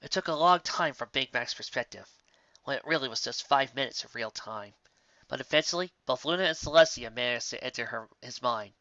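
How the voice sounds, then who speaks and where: A man's voice: medium pitch, fairly quick, pushed and bright, no echo, highs cut off. A man reading scripted fan fiction aloud as the narrator.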